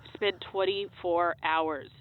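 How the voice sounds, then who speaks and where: A woman's voice: on the low side, steady tone, takes it slowly. A woman playing a wry, anxious colleague on a muffled phone line in a comedic audio drama, questioning hesitantly. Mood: wry, probing.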